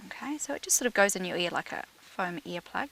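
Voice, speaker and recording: A woman's voice: on the low side, expressive, fast talker, gravelly, a bit bright, poor audio. A woman speaking as an audiologist, casually and reassuringly guiding a patient step by step. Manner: informal, reassuring, instructing step by step. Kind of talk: casual clinical talk with a patient.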